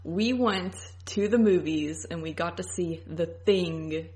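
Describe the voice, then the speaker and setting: A woman's voice: on the low side, takes it slowly, a little mellow. A young adult woman chatting casually as a podcast co-host. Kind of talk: casual chat.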